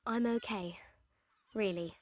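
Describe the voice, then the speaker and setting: A woman voicing a gentle, brave but frail young heroine turned machine in a game, speaking softly and pleadingly. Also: mid-range voice, takes it slowly, no echo, lightly acted. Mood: weak, reassuring. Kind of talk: scripted game cutscene acting.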